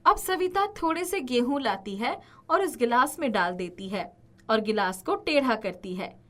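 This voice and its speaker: A woman's voice: on the high side, expressive, a little slow. A woman narrating a scripted children's story as the narrator.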